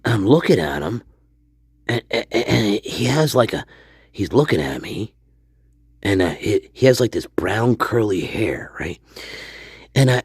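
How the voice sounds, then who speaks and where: A man voicing a crude, foul-mouthed storyteller named Stanley, rambling and swearing, often dropping into a hoarse whisper. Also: mid-range voice, darkish tone, no echo, studio quality. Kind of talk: improvised character monologue.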